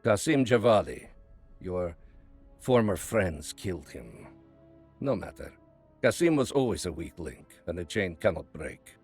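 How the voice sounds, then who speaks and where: An actor playing a cold, calculating Soviet spymaster with a measured, menacing delivery in a video game cutscene. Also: male voice, sits low, very animated, a little mellow, slightly rough, faint music behind, exaggerated voice. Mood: cold, businesslike. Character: cold, calculating Soviet spymaster, a man who plots patiently.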